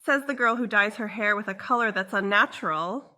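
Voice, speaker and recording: A woman's voice: mid-range voice, a little fast, pushed and bright, natural voice. A woman voicing a cocky, reckless young girl in a comic dub, breezy and argumentative. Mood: combative.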